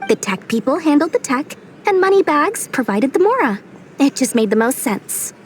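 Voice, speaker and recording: An actress playing a proud, money-minded merchant lord in a video game, haughty, brisk and prickly with a soft heart underneath. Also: female voice, high-pitched, sing-song, a little hiss or hum. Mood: earnest, subdued. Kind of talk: video game character dialogue.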